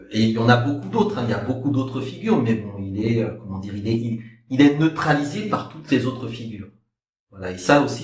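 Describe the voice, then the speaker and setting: A middle-aged man with a fairly low voice, heard in an echoey room at a clear distance from the microphone. He speaks as a historian recounting his path, in a discursive, halting way, restating points for emphasis. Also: a little hiss or hum. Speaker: historian and activist guest speaker. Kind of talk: spoken personal account at a talk.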